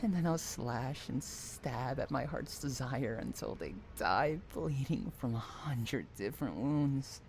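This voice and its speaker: Casting: male actor as possessive, unhinged stalker for audio roleplay; mid-pitched, unhurried delivery, taunting, sliding between tender coaxing and threat. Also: lively intonation, slight background noise. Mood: soft, threatening. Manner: taunting, swinging between soft coaxing and menace. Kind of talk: intimate audio roleplay acting.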